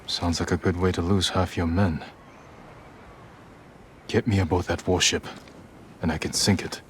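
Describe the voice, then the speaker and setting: An actor playing a resolute, stoic samurai in a game cutscene, speaking in a terse, measured, earnest way. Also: male voice, deep voice, steady tone, a bit bright, a little hiss or hum. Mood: determined. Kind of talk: video game cutscene acting.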